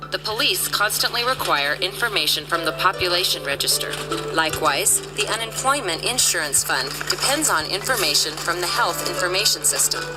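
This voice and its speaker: A woman narrates a scripted explainer video with an even, formal delivery. Her voice is on the low side. Loud music plays behind her. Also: poor audio.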